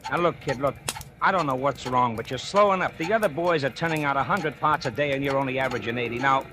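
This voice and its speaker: A man's voice: medium pitch, background noise, lightly acted. An actor playing a stern, scolding factory foreman. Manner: brisk, scolding, sarcastic. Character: stern male factory foreman, impatient boss. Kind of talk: 1940s radio acting. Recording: old radio broadcast with music.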